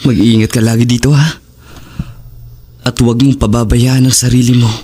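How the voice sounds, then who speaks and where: A man with a Filipino accent playing a loving, apologetic boyfriend in an audio drama, speaking in a soft, reassuring way. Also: medium pitch, flat delivery, moderate pace, breathy edge, natural voice. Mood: caring, hurried. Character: loving, apologetic boyfriend keeping secrets about his work. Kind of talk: Tagalog audio drama acting.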